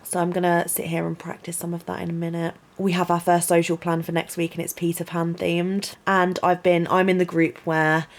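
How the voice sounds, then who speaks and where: A young woman, a student vlogger, chatting casually in her bedroom with lots of "like" and "um" and a low voice. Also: monotone, brisk, few pauses. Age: young adult. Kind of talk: casual vlog chat.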